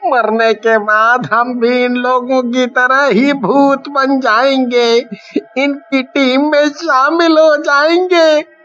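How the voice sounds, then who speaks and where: A man's voice: extremely high pitch, flat delivery, slow, a bit bright, highs cut off, soft background music, heavily acted. A man voicing an anxious male thief in a horror cartoon. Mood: despairing. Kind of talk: cartoon horror story dialogue.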